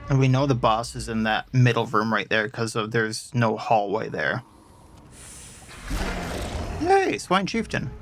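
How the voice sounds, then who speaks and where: A man's voice: mid-range voice, big pitch swings, measured pace, long pauses, a bit bright, slight background noise. A man with a stuffed-up nose and a sore throat, chatting casually as he plays and explains his party's stats at length. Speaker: let's play host. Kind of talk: casual gameplay commentary.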